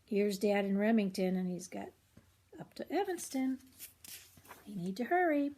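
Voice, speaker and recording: A woman's voice: medium pitch, sing-song, moderate pace, warm tone. An older woman reading a children's chapter book aloud as a storyteller, expressively acting out the dialogue.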